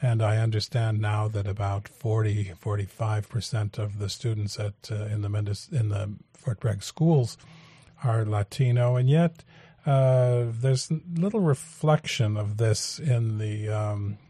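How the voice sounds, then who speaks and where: Man, talk show host, low voice, mellow tone, halting, dry studio sound. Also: steady tone, dry recording. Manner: measured, halting with many fillers. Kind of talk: public affairs interview.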